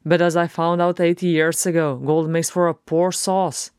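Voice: medium pitch, little pitch movement, moderate pace, darkish tone, clear, resonant tone, dry recording, lightly acted. An irreverent dwarf warrior, as a storybook narrator plays him, breezy and sardonic. He speaks up boldly in a colloquial swagger, in a dry, close recording. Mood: cocky. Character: irreverent, wry male dwarf warrior, loud and cocky.

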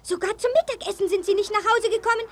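A woman playing an agitated young female seagull with a shrill, squeaky voice in a children's audio play. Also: fast. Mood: agitated, alarmed. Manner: agitated, urgent. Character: excited young female seagull, a worried friend.

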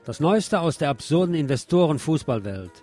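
A man's voice: a little high, very animated, moderate pace, darkish tone. A man hosting a radio show, reading a scripted literary essay in short clipped phrases with a sardonic, theatrical delivery. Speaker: radio host. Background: music.

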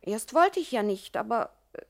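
Young gangster's moll in radio comedy: high voice, coy, coaxing, devoted. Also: female voice, very animated, moderate pace, gravelly. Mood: defensive, plaintive. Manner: pleading, coy, wheedling. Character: gangster's coaxing young showgirl girlfriend, devoted yet coquettish. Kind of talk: 1960s German radio comedy crime acting.

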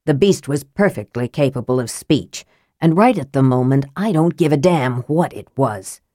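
A cold, sickly young mage with a rasping, lowered voice, every word cutting and contemptuous, as a woman narrator puts him on. The reading is close and dry, with no room around it. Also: animated, normal speed, dry recording. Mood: scornful. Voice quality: rasping, low. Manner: scornful, sharp. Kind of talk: audiobook character voice.

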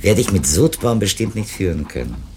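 An actor playing an earnest, reflective literary editor in a naturalistic radio play, with hesitant, dryly ironic turns. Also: male voice, lowish voice, sing-song, moderate pace, a little mellow, slight background noise. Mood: musing, wry. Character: earnest, musing male publishing editor visiting an author.

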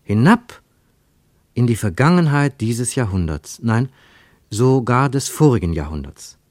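A man narrating a literary radio play with expressive, scripted storytelling. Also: mid-range voice, measured pace, no echo, studio quality.

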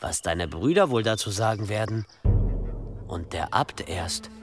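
An actor playing a sly, calculating pilgrim who threatens with measured insinuation in a German radio drama. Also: male voice, fairly low pitch, animated, over music. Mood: insinuating. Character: sly, menacing pilgrim blackmailer, coolly calculating.

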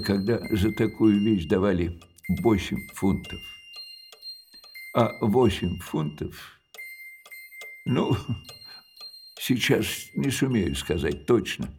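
An actor playing an elderly, widowed male junk-shop owner in a radio drama. He talks slowly and hesitantly, and his sentences trail off softly between pauses. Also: on the low side, very animated, faint music behind, exaggerated voice. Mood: wistful. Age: older.

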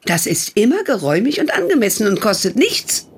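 A strict, thrifty widowed mother in a radio drama who questions her grown son in short, sharp lines. Her husky voice climbs and falls a lot, and she sounds stern and disapproving. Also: medium pitch, very animated, brisk. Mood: questioning. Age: older. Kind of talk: radio play acting.

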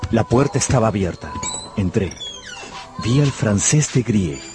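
An earnest, melancholy narrator of his own tale in a radio play. The voice is low, measured and intimate, set over music. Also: animated. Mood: sober. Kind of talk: first-person radio drama narration. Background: music behind the voice.

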